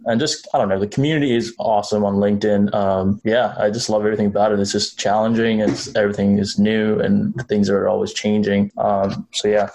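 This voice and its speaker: A young man from Nepal speaks in a low voice with little melody. His manner is informal and halting, as in a casual introduction to a group. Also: level tone, gravelly, darkish tone, poor audio. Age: young adult. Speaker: participant, junior data developer.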